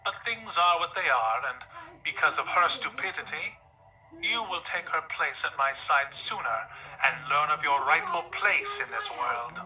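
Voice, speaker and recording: A man acts out a cold vampire stallion from a dark pony tale, a domineering father who commands in formal phrases. The voice sits a little high for a male and cuts through with very sharp, bright force. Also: very animated, moderate pace, a little hiss or hum, heavily acted, rough recording. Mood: cold, commanding. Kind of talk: dramatic fan fiction reading.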